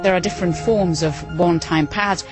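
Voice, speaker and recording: A woman explaining a subject as an interviewed expert, in measured talk. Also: fairly low pitch, steady tone, music in the background.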